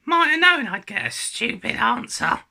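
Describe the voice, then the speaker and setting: A man's voice: sing-song, normal speed, gravelly, full character voice. A man reading aloud, voicing a cheeky schoolboy who teases his friend. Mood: teasing. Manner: teasing, loud and high.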